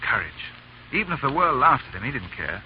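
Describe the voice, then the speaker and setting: An actor playing a polite, sheltered young heir, speaking earnestly in a 1930s radio drama. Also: male voice, mid-range voice, very animated, natural voice. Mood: spirited. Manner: polite, eager, quick and earnest. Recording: dull old radio broadcast recording with faint hiss.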